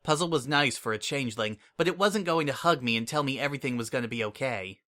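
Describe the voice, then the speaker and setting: A man giving a steady, expressive dramatic reading as the first-person narrator of a story. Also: mid-range voice, quick pace. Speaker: reader. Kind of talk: dramatic audiobook reading of fan fiction.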